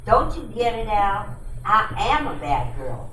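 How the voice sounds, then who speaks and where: A woman playing a cold, conniving bad-girl gangster in an old-time radio detective drama, taunting and deliberate. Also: medium pitch, sing-song, a bit bright. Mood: smug, menacing. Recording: echoey room from a distance, faint noise.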